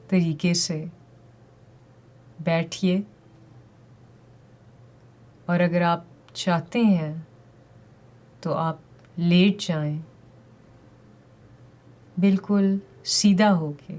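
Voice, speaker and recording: A woman leading a guided meditation with calm, gentle, deliberate instructions and pauses between phrases. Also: fairly low pitch.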